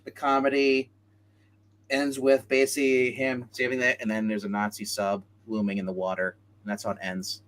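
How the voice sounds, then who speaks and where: A man's voice: mid-range voice, completely flat delivery, moderate pace, a bit bright. A man hosting a casual comic book chat show, talking in a chatty, slangy way. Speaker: host of a comics review show.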